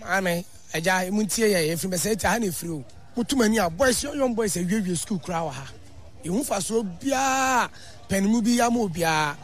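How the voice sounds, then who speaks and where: A man's voice: high-pitched, expressive, brisk. A man pleading his case in a street interview.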